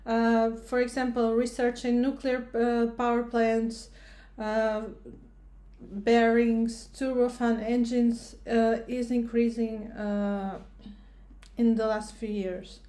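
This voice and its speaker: A woman's voice: on the high side, flat delivery, takes it slowly, mellow. A woman from Portugal, a researcher giving a recorded educational presentation, speaking hesitantly with many fillers and restarts.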